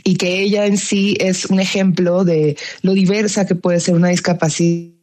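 A woman's voice: on the low side, fairly even intonation, normal speed, darkish tone. A young adult woman from Colombia with a paisa accent, answering interview questions thoughtfully with many fillers and restarts. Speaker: actress guest.

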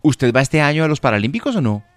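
A man's voice: mid-range voice, sing-song, quick pace, dry recording, soft background music. A man co-hosting a radio interview, presenting and asking questions in a conversational style.

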